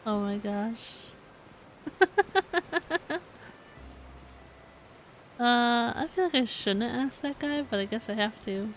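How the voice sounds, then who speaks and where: A woman's voice: a little high, expressive, slow, long pauses, a little hiss or hum, rough recording. A woman streaming a game with casual, chatty commentary in a giggly, rambling way.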